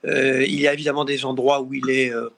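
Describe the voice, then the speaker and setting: Guy from bordeaux on a panel, bright tense voice, keeps repeating words. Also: medium pitch, level tone, fast, gravelly. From Bordeaux, France. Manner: hesitant, many repeated words. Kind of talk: panel discussion by video call.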